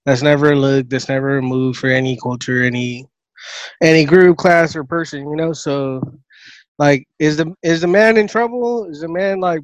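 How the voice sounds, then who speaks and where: Male co-host, slightly high voice, dry sound, casual conversational delivery. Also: fairly even intonation, moderate pace, dry recording, highs cut off. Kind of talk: casual chat.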